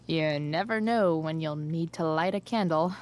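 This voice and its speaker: A woman's voice: low-pitched, normal speed, a little mellow, natural voice. A woman playing a rebellious, guarded American teenage girl in a video game, with a dry, sarcastic, slangy delivery. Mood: wry, joking. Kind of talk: naturalistic video game acting.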